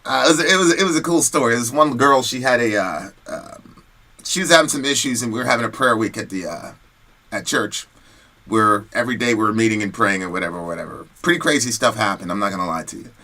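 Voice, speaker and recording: Bright-voiced man talking casually. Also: mid-range voice, quick pace. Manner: animated, slangy and conversational. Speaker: host of a news commentary show. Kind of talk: casual commentary and personal storytelling.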